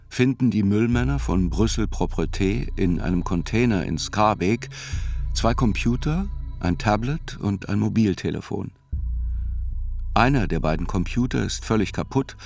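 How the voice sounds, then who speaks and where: A man narrating a documentary radio drama as a sober chronicler, in a measured, precise and reflective way. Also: lowish voice, a little mellow. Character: male chronicler soberly reconstructing terror attacks. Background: faint music.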